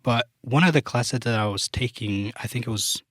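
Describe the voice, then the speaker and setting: A man's voice: mid-range voice, moderate pace, dry recording, clean studio sound. A young man, a Luganda speaker, chatting casually as a podcast guest and using many fillers. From Uganda. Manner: relaxed, many fillers like "like" and "you know". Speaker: guest, software developer.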